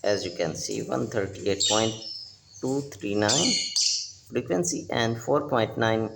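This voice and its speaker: A man walks through a hands-on technical repair in short, halting phrases. His voice has a gravelly fry and moves at an unhurried, slow pace. The recording is echoey, with clear background noise and clicks. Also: lowish voice. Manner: halting, fragmented phrases with repeated pointers. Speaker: electronics repair instructor. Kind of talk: unscripted hands-on repair demonstration.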